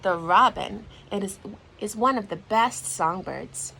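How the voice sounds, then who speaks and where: Expressive, unhurried woman reading a storybook. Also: mid-range voice, vocal fry, slight background noise. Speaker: teacher reading aloud. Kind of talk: children's storybook read-aloud.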